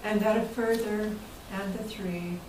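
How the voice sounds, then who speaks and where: A woman's voice: medium pitch, steady tone, a little slow. A woman giving a prepared literary reading aloud at a club meeting. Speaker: guest reader at club meeting. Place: indoor meeting room. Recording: echoey room, distant mic, background noise.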